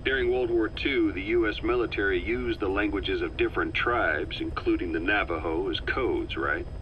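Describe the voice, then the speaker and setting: A man playing a gruff military interviewer in a video game, casual and probing yet sympathetic, on a lo-fi tape recording. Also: on the low side, normal speed, close to an everyday voice. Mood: curious, probing. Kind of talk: game dialogue, questioning debrief. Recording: dull lo-fi tape, faint noise.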